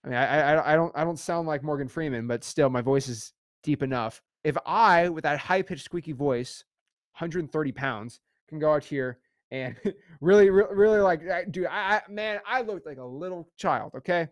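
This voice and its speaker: A young man teaching a casual livestream course with energetic, repetitive pep talk. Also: fairly high pitch, normal speed, no room sound, clean studio sound.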